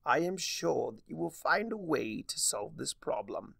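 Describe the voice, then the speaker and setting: A man's voice: fairly high pitch, sing-song, measured pace, gravelly, pushed and bright, slightly rough, no room sound, clean studio sound, voice pushed up, full character voice. A man voicing a mischievous male chaos spirit in a storybook reading, with a theatrical, teasing drawl. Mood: wry, teasing.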